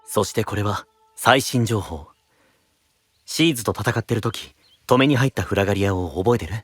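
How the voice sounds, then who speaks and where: An actor playing a calm, gentle, secretive young knight, speaking in a soft, measured, reflective way in an anime-style audio drama. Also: male voice, on the low side, breathy edge. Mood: serious, pensive.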